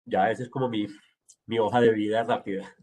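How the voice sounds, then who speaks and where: A man's voice: fairly low pitch, fairly even intonation, fairly quick, darkish tone. A man in his forties with a Medellín Colombian Spanish accent, fluently and confidently explaining himself as a city councilman in an interview. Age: 40s. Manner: fluent, self-assured, explanatory. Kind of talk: interview answers.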